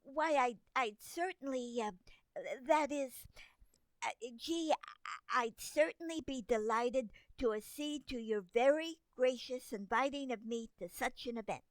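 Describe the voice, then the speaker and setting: Woman playing a high-pitched bratty tomboy girl. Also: measured pace, no echo. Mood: stunned, flustered. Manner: blunt, defiant. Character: Irma, bratty, blunt tomboy neighbor girl. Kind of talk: old-time radio comedy acting.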